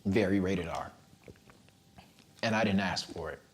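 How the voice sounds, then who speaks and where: A man from the Bay Area, a content creator, in casual sit-down chat with slangy, laid-back banter and a voice that is not very deep. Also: unhurried, slight background noise. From San Francisco Bay Area.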